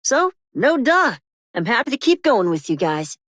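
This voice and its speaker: A woman's voice: fairly high pitch, very animated, moderate pace, a bit bright, no room sound, studio quality. A woman voicing an offhand, nonchalant but loyal youngster in a video game. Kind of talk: video game character voice lines.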